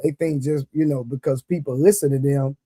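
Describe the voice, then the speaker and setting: A man's voice: mid-range voice, fairly even intonation, brisk, dark tone, breathy edge, dry recording. A middle-aged man chatting casually and reflectively, often checking that he is understood. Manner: reflective, punctuates with "you know what I'm saying". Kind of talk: casual chat.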